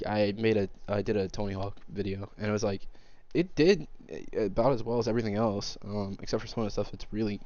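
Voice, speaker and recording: A man's voice: lowish voice, warm tone, muffled recording. Nasal young man with a cold. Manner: rambling, halting, many fillers and restarts. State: congested from sickness. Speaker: gaming streamer. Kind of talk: casual commentary while playing a shooter.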